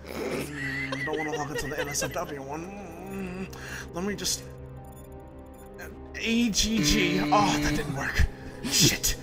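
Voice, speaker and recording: A man's voice: on the high side, natural voice. A man voicing a pompous, easily enraged middle-aged mad scientist in an improvised comic game fan dub, theatrically moving between muttering and shrieking. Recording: loud game music behind.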